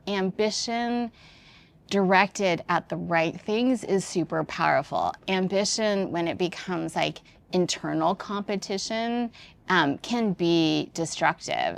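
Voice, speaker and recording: Low-voiced woman with creaky edge. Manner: thoughtful, informal, peppered with "like" and "you know". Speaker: interview guest, business executive. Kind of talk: conversational podcast interview.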